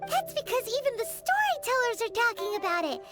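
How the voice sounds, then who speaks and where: A tiny fairy girl from an anime game, squeaky and extremely high. Her delivery is excitable and swoops wildly up and down. Loud music plays behind her. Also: a little fast. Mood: excited, amazed. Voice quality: squeaky, childlike. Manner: excitable, exclamatory, refers to herself in third person. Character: small excitable childlike floating fairy companion, girl. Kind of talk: anime video game voice acting.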